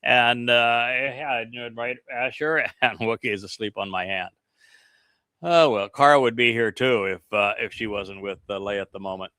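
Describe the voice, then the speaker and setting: Bright-voiced man teaching scripture earnestly. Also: mid-range voice, normal speed, clear, resonant tone, no room sound. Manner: rambling, chuckling asides to companions. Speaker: religious teacher. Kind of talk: scripture teaching with casual asides.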